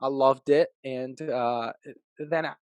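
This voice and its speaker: A man in his thirties from Iraq, a podcast guest telling his life story in an upbeat, animated way. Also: mid-range voice, measured pace, dry recording. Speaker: podcast guest, real estate agent. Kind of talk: casual interview storytelling.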